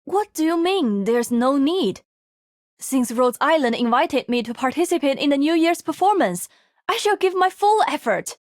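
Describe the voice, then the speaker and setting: An actress playing a serious, diligent woman in a game, with an earnest, formal, deliberate delivery. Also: high-pitched, lively intonation, no echo, clean studio sound. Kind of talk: game character voice line.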